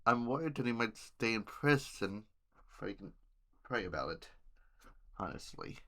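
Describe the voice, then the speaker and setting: A man hosting an amateur news podcast, reading headlines haltingly with informal asides and stumbles over words and names. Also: fairly low pitch, very animated, fairly bright tone.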